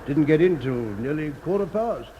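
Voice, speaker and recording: A man's voice: fairly high pitch, animated, moderate pace, warm tone, close to an everyday voice. An actor with a British accent playing an irritable, put-upon businessman in a radio drama, curt and grumbling. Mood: irritated, defensive. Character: irritable, put-upon male office businessman under questioning. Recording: noisy classic radio drama recording.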